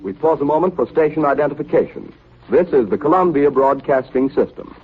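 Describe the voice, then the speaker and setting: High-ish male announcer, tinny vintage radio. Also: slightly rough. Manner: formal, measured. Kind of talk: formal 1930s radio announcing. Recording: tinny, band-limited 1930s radio broadcast.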